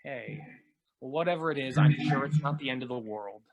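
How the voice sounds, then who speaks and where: A man playing an awkward, kindly friend in a fan-made drama, nervous, gentle and stammering, on a noisy recording. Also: medium pitch, moderate pace, dull audio, crowd noise, natural voice. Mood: gentle concern. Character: awkward, kindly male friend, eager to help. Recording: noisy, clicky remote-call recording.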